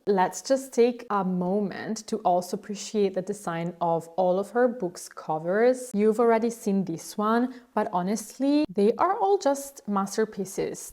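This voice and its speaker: A young woman from Italy speaks in English with an Italian accent, chatty and gushing as she recommends books. Her voice sits at an everyday middle pitch for a woman. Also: lively intonation, unhurried, hardly any pauses, darkish tone. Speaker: book vlogger. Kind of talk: casual book recommendation vlog.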